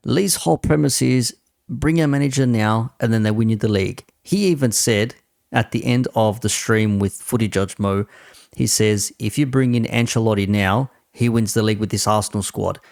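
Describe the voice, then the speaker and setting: A man's voice: fairly low pitch, normal speed, vocal fry, a little mellow, dry recording, clean studio sound. A man commentating on football off the cuff as a host, with a sarcastic, repetitive and rhetorical way of making his points. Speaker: host giving football opinion.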